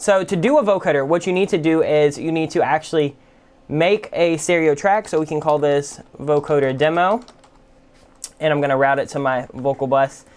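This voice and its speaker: A man giving a casual, conversational software tutorial as the host. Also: on the high side, moderate pace, clean tone. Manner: informal, conversational. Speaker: music production tutorial host. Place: indoors at a computer.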